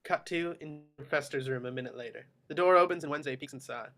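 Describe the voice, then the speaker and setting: A man's voice: on the high side, quick pace, fairly bright tone. A man reading screenplay action descriptions aloud as narrator in a table read.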